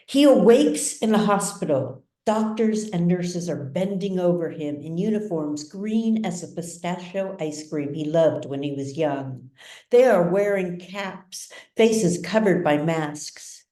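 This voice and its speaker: A woman narrating a literary story as its author, with measured, dramatic delivery. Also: lowish voice, animated, warm tone. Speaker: author reading own novel. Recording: roomy echo, distant mic.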